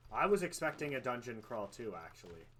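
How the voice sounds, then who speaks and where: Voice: mid-range voice, very animated, a little fast, fairly bright tone, a little hiss or hum. A game streamer giving casual live commentary, sweary and joking in reaction to the game. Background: game music.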